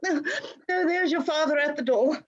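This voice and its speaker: A poor, grieving mother played by a woman in a radio play, gentle and weary. Her high voice comes haltingly through dull, lo-fi video-call sound. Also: natural voice. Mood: tender grief.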